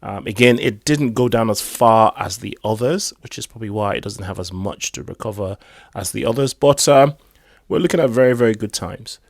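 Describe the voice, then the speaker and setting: A hoarse man giving rambling, casual commentary on crypto news. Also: fairly low pitch, fairly quick, no room sound, studio quality. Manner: rambling, chatty asides.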